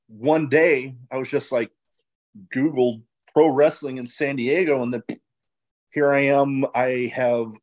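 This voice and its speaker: A man's voice: mid-range voice, a little mellow, poor audio. Laid-back man hosting a podcast. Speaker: podcast host. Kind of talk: casual interview chat.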